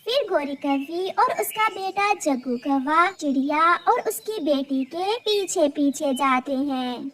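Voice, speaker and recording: A woman reads a scripted children's tale. The pitch is extremely high, almost childlike. The delivery is slow, as a storyteller's. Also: lively intonation, fairly bright tone.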